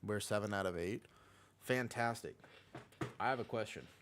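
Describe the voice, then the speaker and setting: A man's voice: lowish voice, moderate pace, fairly bright tone, a little hiss or hum. A man chatting casually and slangily as he livestreams an online game, talking to his viewers and teammates in a laid-back way. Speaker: live streamer playing an online game. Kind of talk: casual livestream chat while gaming.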